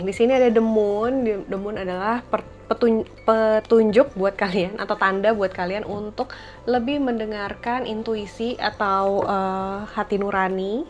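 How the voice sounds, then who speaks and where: A woman talks viewers through a tarot reading in a soft, unhurried voice. Her voice sits at an average height and sounds slightly blocked and nasal from a cold. She often pauses with fillers as she thinks aloud. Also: medium pitch, lo-fi sound. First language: Indonesian. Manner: gentle, reflective, with frequent fillers. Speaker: tarot reader.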